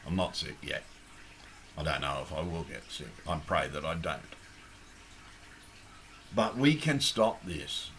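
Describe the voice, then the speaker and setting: A croaky, hoarse Australian man making an impassioned, blunt appeal straight to camera, swearing heavily as he rants. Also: rumbling low, lively intonation, a little slow, pauses often, fairly bright tone, a little hiss or hum. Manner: blunt, ranting, heavy swearing. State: hoarse, losing his voice. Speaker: activist.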